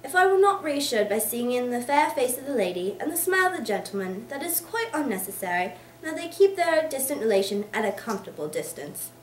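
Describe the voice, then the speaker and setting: A woman voicing a hearty, explosive, blustering older English gentleman in an emphatic, theatrical reading of a Victorian novel. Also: a little high, sing-song, quick pace, few pauses. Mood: forceful. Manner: emphatic, theatrical declaiming in long tirades. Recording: echoey room, distant mic, faint noise.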